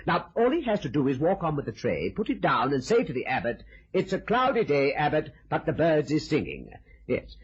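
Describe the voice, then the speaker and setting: An actor playing a polite, anxious theatre producer who talks hesitantly, in an old radio drama. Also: male voice, a little high, huge pitch swings, a little fast, lo-fi sound. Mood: flustered.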